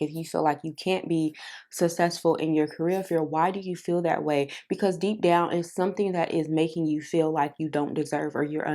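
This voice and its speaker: A young woman vlogging to camera about self-help in a casual, chatty way, with many fillers and a soft, careful delivery. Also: sits low.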